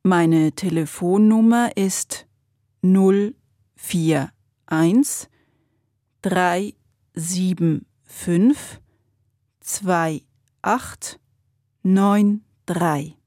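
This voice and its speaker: A woman answering questions slowly and carefully in a scripted language-course dialogue. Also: lowish voice, warm tone, no echo, studio quality.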